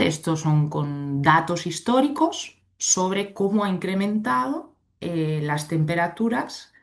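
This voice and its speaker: A woman from Spain speaks with a Spanish accent in a low, grounded voice. She gives an online conference presentation through a computer mic on a video call, explaining things in a friendly, chatty way. She keeps checking in with her listeners as she goes. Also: vocal fry. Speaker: invited speaker, legal expert.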